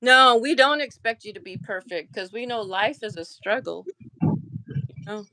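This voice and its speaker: Bright, chatty woman hosting a live show. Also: on the high side, expressive, moderate pace, no room sound, poor audio. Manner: chatty, laughs easily, leans on "like". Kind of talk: casual live-stream chat.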